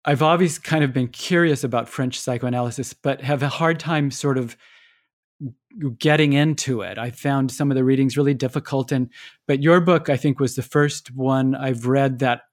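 An American man hosts the interview at a middling pitch for a man. He asks his questions casually and loosely, padding them with filler hedges. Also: fairly even intonation, normal speed. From United States.

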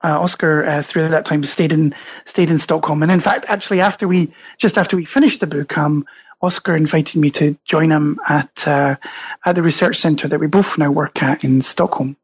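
A man's voice: a little high, steady tone, moderate pace, slightly rough. A Scottish man being interviewed on a podcast, explaining his research thoughtfully with many fillers and restarts. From Scotland. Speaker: guest author and researcher.

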